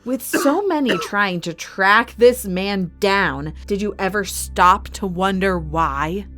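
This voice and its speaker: A woman playing a cold, imperious inhuman villain in an audio drama, haughty and menacing, with measured threats. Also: mid-range voice, fairly bright tone, soft background music. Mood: probing. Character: cold, imperious non-human woman villain running an arena.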